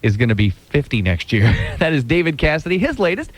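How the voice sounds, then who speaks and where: A man's voice: on the high side, big pitch swings, fairly quick. An American man in his thirties hosting an upbeat music show as a radio DJ. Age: 30s. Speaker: radio DJ, mid-day host. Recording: radio broadcast with music behind. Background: music bed.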